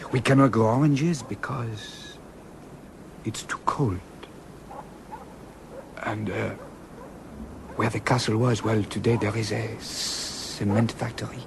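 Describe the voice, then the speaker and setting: A man's voice: fairly low pitch, vocal fry. A man playing a gallant, hesitant French duke with a French accent in an audio drama. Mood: wistful, earnest. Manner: halting, courtly. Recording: faint background noise.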